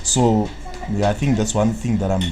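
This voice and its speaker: Low-voiced Zimbabwean man streaming live. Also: steady tone, normal speed, a crowd in the background, rough recording. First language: Shona. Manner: rambling, fills gaps with "what, what"; answers viewers' chat comments. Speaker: trading analyst. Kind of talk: live-stream market analysis.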